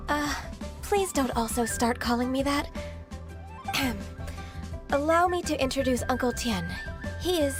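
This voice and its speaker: A gentle young secretary from a fantasy video game, fairly high in pitch. She sounds earnest, modest and formal, with music playing behind her. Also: unhurried, long pauses. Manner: polite, reserved, formal.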